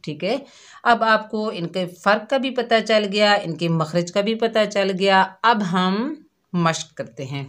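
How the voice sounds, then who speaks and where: Slow, patient woman teaching pronunciation. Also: medium pitch, fairly bright tone. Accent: Pakistani Urdu. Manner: patient and repetitive, drawing out demonstration sounds. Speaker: Quran recitation teacher. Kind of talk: slow classroom instruction to children.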